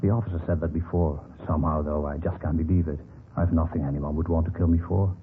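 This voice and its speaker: An actor playing a gentle, trusting old man in a radio drama, speaking politely and mildly. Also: a bass voice, fairly even intonation, fast talker. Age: older. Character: gentle, trusting old German man who loves roses. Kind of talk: 1950s radio drama acting. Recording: tinny, noisy vintage radio recording.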